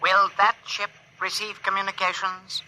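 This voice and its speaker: A stilted, courteous alien officer speaks in halting, oddly formal phrases. His voice sits a little high and has a sharp, tense brightness. It sounds like 1950s radio drama. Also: flat delivery, soft background music, poor audio. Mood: somber. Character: stilted polite male alien ship officer.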